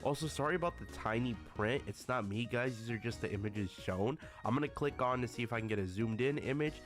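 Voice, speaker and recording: Mid-pitched male gaming YouTuber, a bit under the weather, chatting to viewers over faint music. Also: quick pace. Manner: addresses viewers often as guys. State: a little sick. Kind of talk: casual video commentary.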